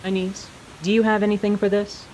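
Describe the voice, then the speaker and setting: A man voicing a gentle, teasing winged woman adventurer with formal wording in a radio play. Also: high-pitched, little pitch movement, a little fast, a little hiss or hum, pitched above the performer's own voice, lightly acted, rough recording. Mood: amused. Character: kindly, playful winged woman adventurer, eloquent and caring. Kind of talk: dramatized tabletop radio play.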